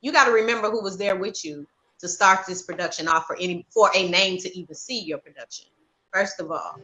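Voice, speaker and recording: Woman panelist, bright forceful tone, colloquial and plain-spoken. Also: lowish voice, fairly quick, low-quality recording. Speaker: stage play writer-director. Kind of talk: panel chat.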